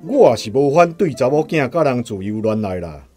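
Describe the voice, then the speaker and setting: A man playing a village father with a Taiwanese accent in a radio play. He is open-minded yet careful, laying things out in a measured, instructive way at a middling pitch. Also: very animated, darkish tone, soft background music, close to an everyday voice. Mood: measured, firm. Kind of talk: radio drama acting.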